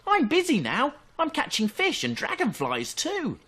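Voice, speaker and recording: A busy little otter out of a bedtime tale, as a man acts it. The voice is squeaky and high. It bounces about in pitch as it brushes a playmate off. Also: huge pitch swings, a little slow, pushed and bright, full character voice.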